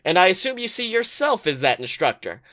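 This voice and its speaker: A smug younger man, as a male reader plays him, in a comic story reading. He sounds skeptical and condescending, toying with his listener in a slightly high voice. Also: sing-song, fairly quick, pushed and bright, heavily acted.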